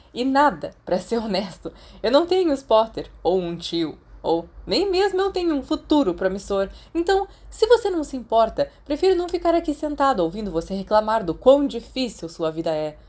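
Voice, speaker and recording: A woman's voice: fairly high pitch, sing-song, a little fast, darkish tone, pitched above the performer's own voice. A woman voicing a hot-tempered, wounded, sarcastic teenage boy in a story, with a Brazilian accent and emphatic delivery. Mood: angry, bitter. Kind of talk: podfic character acting.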